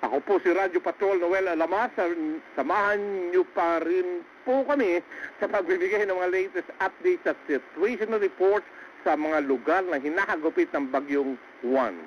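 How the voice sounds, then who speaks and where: A man's voice: high-pitched, moderate pace. A man with a Filipino accent co-anchoring live radio coverage in a casual style. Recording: dull, faintly noisy radio broadcast.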